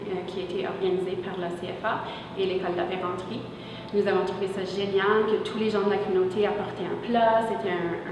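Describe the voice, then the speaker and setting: A middle-aged woman, a teacher and parent, talks earnestly in an interview testimonial, pausing and restarting often. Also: mid-range voice. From Winnipeg, Manitoba, Canada. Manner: earnest, with many pauses and restarts. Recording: echoey room, mic far off, noise behind.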